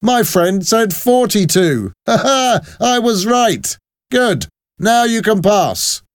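Man doing a loud, high-pitched bullying soldier. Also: lively intonation, unhurried, clear, resonant tone, no room sound, studio quality. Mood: gloating. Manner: loud, barking, boastful. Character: brash, bullying male soldier at a checkpoint.

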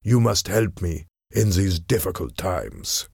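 A man voicing a cold, cunning French ambassador of forty in an audiobook, smooth-tongued, deliberate and menacing. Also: low voice, lively intonation, darkish tone, no echo, studio quality, exaggerated voice. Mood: intense, hateful.

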